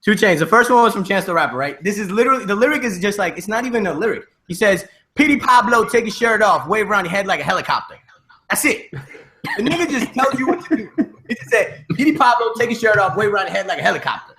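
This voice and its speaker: Bright, forceful higher-pitched man joking around. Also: expressive, fast. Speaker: podcast host. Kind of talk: casual comedic banter.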